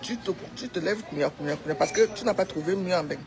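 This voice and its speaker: A Cameroonian woman speaks informally to her followers with strong opinions. She urges and exhorts, hammering phrases by repeating them. Music plays clearly behind her voice throughout. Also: on the low side, expressive, fast talker. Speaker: social media commentator.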